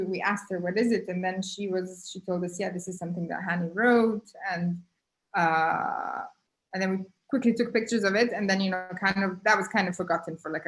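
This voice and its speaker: A woman artist telling a story in a casual conversation, halting often with fillers, over a video call. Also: fairly low pitch, low-quality recording. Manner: halting, many "you know, like" fillers. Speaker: guest artist.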